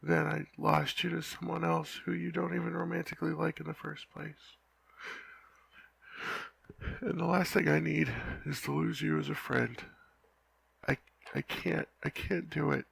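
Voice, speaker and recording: A young man playing a lovesick, flustered knight in an ASMR roleplay, talking softly and haltingly with frequent stammers. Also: fairly high pitch, fairly even intonation, vocal fry, breathy edge, exaggerated voice. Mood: anxious, pleading. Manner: halting, stammering, soft-spoken.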